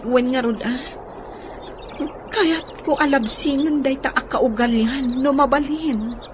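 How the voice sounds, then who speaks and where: High-voiced, defiant young Ilocano daughter. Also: female voice, slow pace, background noise. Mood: troubled. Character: young woman student teacher resisting an arranged marriage. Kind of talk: Ilocano radio drama acting.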